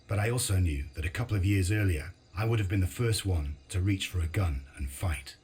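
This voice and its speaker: A weary, reluctant war veteran played by a man in a game drama. He speaks in a low, grave voice with a measured, guarded delivery. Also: darkish tone, slight background noise, lightly acted. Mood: brooding. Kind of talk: dramatic game dialogue and reflective narration.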